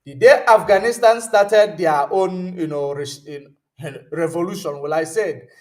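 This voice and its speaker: Slow, high-voiced man talking politics. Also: a little mellow. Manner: rambling, searches aloud for words with many ums; answers viewer comments mid-talk. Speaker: host. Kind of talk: political commentary livestream.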